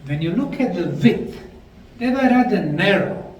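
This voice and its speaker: A man with a Bosnian accent giving a deliberate, emphatic lecture to a live audience. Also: high-pitched, animated. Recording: echoey room, mic far off, faint noise.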